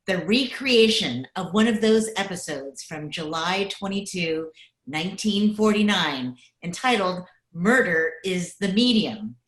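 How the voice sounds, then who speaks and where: An echoey room recording of a woman announcer with a fairly low voice. She reads a scripted old-time radio introduction at a slow, measured pace. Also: fairly bright tone. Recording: roomy video-call recording.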